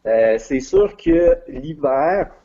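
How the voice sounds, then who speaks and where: A middle-aged man from Quebec talks with a Quebec French accent, his husky, mellow voice rising and falling in a lively way. He hesitates often, filling gaps and restarting phrases. The sound is dull and lo-fi. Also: a little high, drawn-out words. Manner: hesitant, many fillers and restarts. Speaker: guest, regional business development adviser. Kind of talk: casual interview chat.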